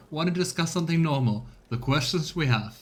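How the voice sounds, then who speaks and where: A man's voice: fairly high pitch, lively intonation, moderate pace, a little hiss or hum. A man chatting casually as a let's play host, musing and laughing easily. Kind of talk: casual gameplay commentary.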